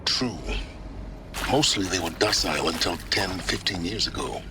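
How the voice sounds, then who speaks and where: A calm, knowing advisor, played by a man, answers in a very deep, low voice. Loud background noise runs behind him throughout. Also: moderate pace, close to an everyday voice.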